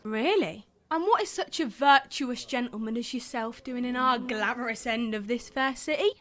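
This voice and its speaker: An actress playing a cheeky, defiant young Victorian tavern singer in a period drama, bold and teasing. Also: female voice, on the high side, normal speed, fairly bright tone, lightly acted. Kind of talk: theatrical period drama acting.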